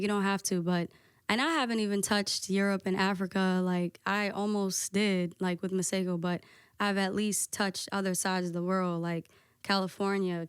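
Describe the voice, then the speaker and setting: Woman, soft voice, smooth, casual candid podcast talk, dry close mic. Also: medium pitch, dry recording. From United States. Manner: candid, lots of "like" and profanity. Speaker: podcast guest, DJ.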